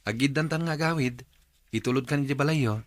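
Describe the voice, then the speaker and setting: A man's voice: medium pitch, brisk, dry recording, natural voice. An actor playing a friendly, courteous young man visiting in a radio drama, with a Filipino accent. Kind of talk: Ilocano radio drama acting.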